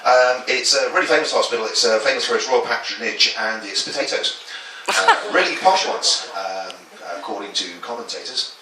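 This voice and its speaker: A man doing a topical stand-up set in a small comedy club, self-deprecating and stumbling into quick asides. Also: medium pitch, lively intonation, heavy background noise. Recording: echoey club from a distance with chatter.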